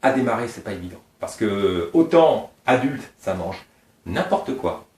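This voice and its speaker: A man's voice: lowish voice, big pitch swings, a little slow, a little mellow. A middle-aged man from Réunion Island, a snake breeder, talking informally and at length, piling up details as he explains his animals. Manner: talkative, digressive, piles up details. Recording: roomy sound from a distance.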